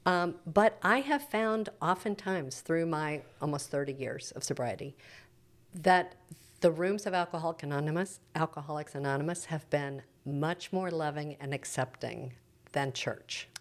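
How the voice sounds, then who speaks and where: A woman's voice: low-pitched, moderate pace. A middle-aged woman speaking as a church preacher in an interview, explaining things in an earnest, reflective, teacherly way.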